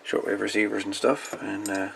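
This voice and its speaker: A man giving a casual hands-on show-and-tell as a hobbyist, in a folksy, rambling, halting way. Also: fairly low pitch, level tone, vocal fry, very noisy. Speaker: radio hobbyist presenting salvaged parts.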